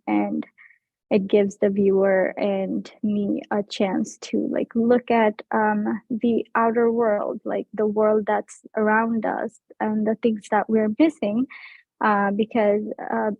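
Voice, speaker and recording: A young woman describes her art slowly and earnestly, leaning on "um" and "like" as she goes. The sound is thin and phone-like, close and dry. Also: medium pitch, vocal fry, no echo. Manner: earnest, many fillers like "um" and "like". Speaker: visual artist. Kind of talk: speaking at an art show.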